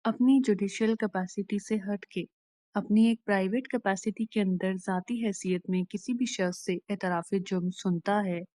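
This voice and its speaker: A woman with a Pakistani accent giving an explanatory law lecture in a deliberate, instructional way, restating each point plainly. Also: medium pitch, steady tone, warm tone, no echo. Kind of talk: explanatory lecture mixing Urdu and English.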